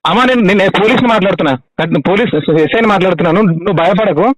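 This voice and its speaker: A man's voice: high voice, racing, crowd noise. A man with a Telangana Telugu accent speaking as a police officer on an urgent phone call, insistently repeating short questions and instructions. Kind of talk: urgent emergency phone conversation. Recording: close dry phone call recording.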